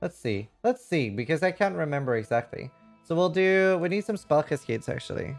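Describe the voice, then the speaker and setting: A man's voice: fairly high pitch, lively intonation, fairly quick, vocal fry, soft background music. A man doing casual live game commentary, reading chat and thinking aloud in a frank, rambling way with some casual swearing. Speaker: livestreamer.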